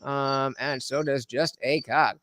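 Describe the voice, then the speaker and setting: A man hosting a live stream panel, halting with many restarts and fillers, reading chat comments aloud. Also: medium pitch, fairly even intonation, a bit bright, dry recording, clean studio sound. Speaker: host.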